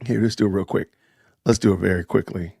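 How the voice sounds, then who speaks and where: A man hosting a casual livestream chat, excitable and loud in his reactions. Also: sits low, fast talker, gravelly, slightly rough, dry recording, clean studio sound. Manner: excitable, exclaims loudly. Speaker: livestream host.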